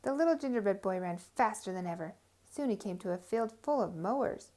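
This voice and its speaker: A woman storyteller reading a children's tale aloud with expressive, emphatic phrasing. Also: medium pitch, brisk.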